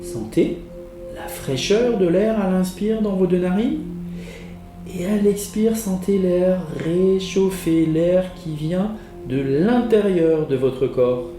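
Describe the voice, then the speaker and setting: Male yoga teacher for guided meditation: fairly high, slow, soothing delivery, roomy sound over soft music. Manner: soothing, measured instructions with pauses. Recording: roomy echo with music behind. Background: relaxation music.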